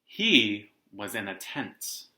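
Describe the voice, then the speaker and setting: A man's voice: fairly low pitch, very animated, takes it slowly, sharp tone. A young man casually presenting and reading a picture book for students at home. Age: young adult. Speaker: read-aloud host, teacher. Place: living room at home. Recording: roomy indoor echo.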